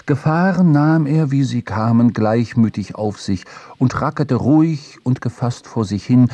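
Husky, mellow male narrator. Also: mid-range voice, no room sound. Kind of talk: literary narration in an audio drama. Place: dry studio.

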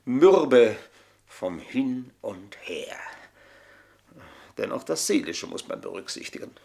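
An older actor playing an embittered, boastful manservant in a German radio play, speaking in a florid, mocking, theatrical way. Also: male voice, medium pitch, very animated, slow, vocal fry, a little mellow, heavily acted. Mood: bitter, confiding. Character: aging, embittered, boastful manservant taunting his mistresses.

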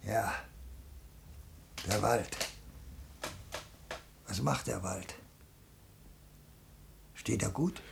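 An actor playing a wistful, muddled old ex-lieutenant and hunter in a 1950s German radio play, halting and pensive. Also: male voice, lowish voice, creaky, heavily acted. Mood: ominous, hushed. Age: older. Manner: halting, pensive, repeating himself. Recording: 1950s radio recording with noise, clicks.

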